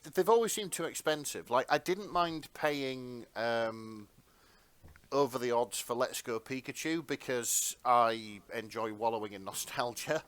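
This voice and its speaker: A man's voice: mid-range voice, a little slow, a bit bright, smooth. A man co-hosting a podcast, chatting casually with wry, somewhat hesitant asides. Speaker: podcast co-host. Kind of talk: casual chat.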